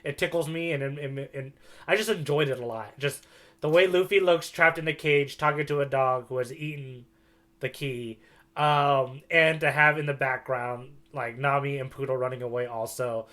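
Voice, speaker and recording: A man's voice: mid-range voice, steady tone, moderate pace, a bit bright. A man co-hosting a manga podcast, chatting informally and joking along. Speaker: podcast co-host. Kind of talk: casual chat about manga.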